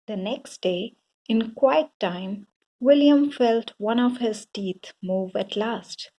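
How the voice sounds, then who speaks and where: Very slow, higher-voiced woman storyteller. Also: a little hiss or hum, low-quality recording. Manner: deliberate, expressive, aimed at young children. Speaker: storyteller reading a children's book aloud. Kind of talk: expressive bedtime story narration.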